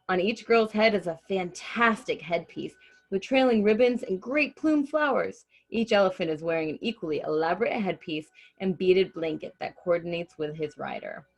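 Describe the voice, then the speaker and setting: A woman reading a children's story aloud expressively and chatting casually as host. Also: lowish voice.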